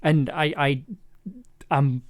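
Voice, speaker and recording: A man chatting casually on a livestream as he works, enthusiastic but halting, repeating words and letting thoughts trail off. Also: a little high, flat delivery. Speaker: audio drama maker livestreaming an editing session. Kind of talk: casual livestream chat while working.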